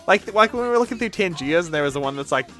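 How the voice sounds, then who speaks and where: A man streaming casually with a fairly high, very animated voice. He talks bluntly, swears freely and sounds mock-exasperated. Faint music plays behind him. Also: rapid-fire. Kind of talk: casual livestream chat reacting to videos.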